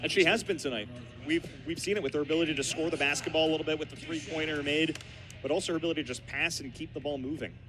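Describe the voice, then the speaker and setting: A man's voice: a little high, steady tone, rapid-fire, over music. A man giving explanatory, analytical color commentary during a live basketball broadcast.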